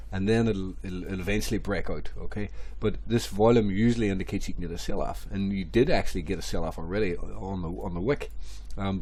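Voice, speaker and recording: A man, hoarse and stuffy from a cold, rambling through crypto chart analysis with many repeats and fillers. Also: on the low side, a little mellow, a little hiss or hum. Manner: rambling, repeats phrases, many ums and restarts. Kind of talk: casual screen-share market commentary.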